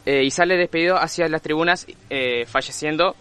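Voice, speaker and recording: Man, clear bright voice, co-host, hesitant with fillers. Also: on the high side, brisk. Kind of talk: casual sports radio chat.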